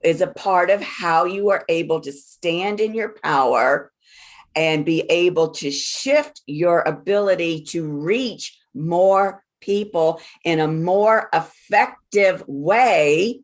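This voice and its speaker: Slow-spoken woman with a lowish voice. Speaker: astrologer giving a birth chart reading. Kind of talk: explanatory one-on-one spiritual reading.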